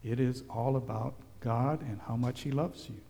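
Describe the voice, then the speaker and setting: A man who grew up in Hawaii, giving a gentle children's sermon as a pastor and asking the children questions. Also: medium pitch, moderate pace, vocal fry. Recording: long hall reverb.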